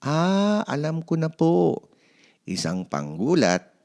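A polite, meek little Filipino boy from a storybook, acted by a man. The voice is lifted high and moves with lively swings, anxious and well-mannered. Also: slow, vocal fry, full character voice. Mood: eager.

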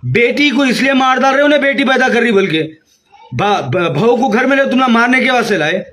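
A man in his fifties speaks with a Hyderabadi accent in a very high-pitched voice. He delivers an agitated statement to camera, scolding and repeating himself as he rants. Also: expressive, a little fast, a bit bright. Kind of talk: angry rant to camera. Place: small room indoors.

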